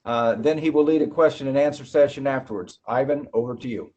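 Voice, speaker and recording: A man's voice: mid-range voice, brisk, darkish tone, lo-fi sound. A man formally hosting an event, introducing the next speaker politely with some fillers. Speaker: event host.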